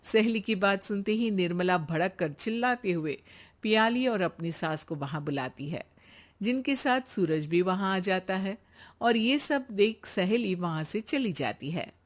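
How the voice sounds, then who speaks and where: A woman's voice: mid-range voice, animated, unhurried. A woman reading scripted narration as the storyteller of a cartoon tale.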